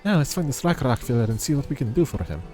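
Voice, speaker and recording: A male game streamer with a mid-pitched voice and a quick pace. He reads dialogue and chats casually, tripping on a word now and then, with faint music underneath. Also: very animated, a little mellow.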